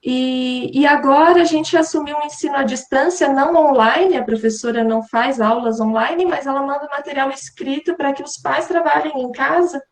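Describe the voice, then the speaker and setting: Woman teacher, high and gentle, explaining at length on a call. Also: moderate pace, few pauses. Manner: gentle, explanatory, frequent tag questions. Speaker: Waldorf teacher. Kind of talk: online talk answering questions.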